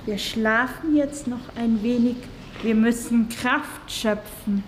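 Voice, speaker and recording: A woman's voice: fairly high pitch, slow pace. An actress playing a pious hospital nurse in a radio drama, soothing her patient in a coaxing, patronizing manner. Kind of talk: stylized radio drama acting. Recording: reverberant hall, faint background noise.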